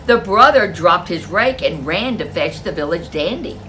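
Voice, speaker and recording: A woman's voice: lowish voice, expressive, normal speed, bright, forceful tone, slight background noise. A woman storyteller reading a folk tale aloud in a dramatic, emphatic way. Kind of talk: animated folk tale narration for children.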